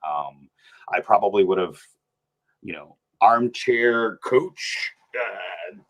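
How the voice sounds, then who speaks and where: A man, a podcast guest chatting casually, animated and rambling, laughing often. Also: low voice, slow. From New Hampshire. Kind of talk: casual sports chat.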